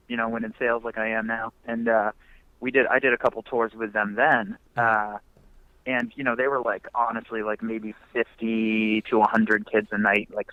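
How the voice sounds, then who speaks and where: A man's voice: fairly low pitch, no echo. Laid-back young man on a muffled phone line. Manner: laid-back, rambling, many fillers. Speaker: musician guest. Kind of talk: casual phone interview.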